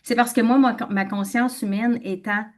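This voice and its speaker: A woman's voice: a little high, steady tone, normal speed. A middle-aged woman with a Québécois French accent, giving an emphatic personal testimony as a webinar speaker and repeating words for stress.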